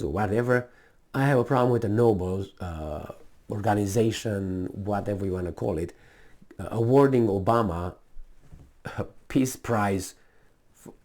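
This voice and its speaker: A man's voice: on the low side, a little mellow. A man with a Romanian accent gives casual, unscripted political commentary in a blunt, emphatic, rambling way full of asides and repetition.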